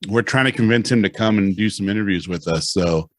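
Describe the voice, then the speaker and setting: A man in his forties hosting a podcast in casual chat. He tells stories with energy and loudly acts out the lines he quotes. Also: fairly low pitch, brisk. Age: 40s. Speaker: podcast host.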